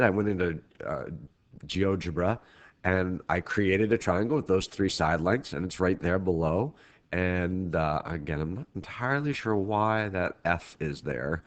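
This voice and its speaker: A man with a very deep, low-pitched voice delivers a recorded math lecture for his class. He is congested from a head cold, so his voice sounds thick and stuffy. He speaks in a casual, loose way, often restarting sentences mid-thought. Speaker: teacher. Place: at home, recording remotely.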